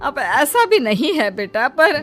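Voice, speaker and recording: A woman voicing a bossy, scheming older mother-in-law in a cartoon who calls out loudly and boasts. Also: high voice, sing-song, slow pace, faint music behind, exaggerated voice. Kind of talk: Hindi cartoon voice acting.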